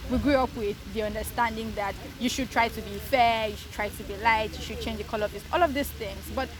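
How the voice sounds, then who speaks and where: A woman co-hosting a podcast, speaking in an earnest, reflective way and often affirming her partner with quiet fillers. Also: fairly high pitch, fast talker. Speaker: podcast co-host. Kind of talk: casual podcast discussion.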